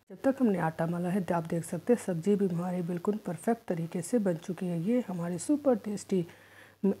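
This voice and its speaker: A woman's voice: lowish voice, warm tone, husky. A woman giving a step-by-step home cooking voice-over in an instructional manner. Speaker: home cook, cooking channel host.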